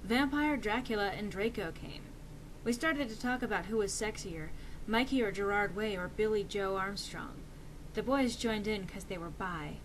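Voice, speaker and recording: A woman's voice: mid-range voice, normal speed, background noise. A woman reading fan fiction aloud as a dramatic, mock-serious narration with theatrical emphasis.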